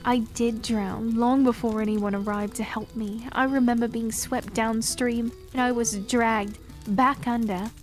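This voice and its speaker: A woman's voice: a little high, steady tone, faint music behind, close to an everyday voice. A woman playing a weary cursed queen. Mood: solemn. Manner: slow, formal, solemn delivery. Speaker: voice actor in audio drama. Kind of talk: fantasy audio drama acting.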